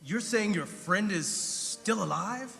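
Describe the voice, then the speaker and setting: High, forceful, indignant man acted out. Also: lively intonation, slow, gravelly, long reverb tail, soft background music, full character voice. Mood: indignant, urgent. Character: indignant man confronting police at a shooting scene. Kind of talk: audio drama acting.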